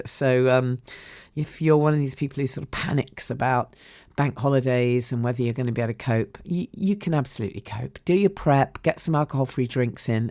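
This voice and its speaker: A woman hosting a podcast in a croaky voice, chatting casually and rambling with many ums and restarts. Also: extremely low pitch, warm tone, dry recording, clean studio sound. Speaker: podcast host. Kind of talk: casual solo podcast chat.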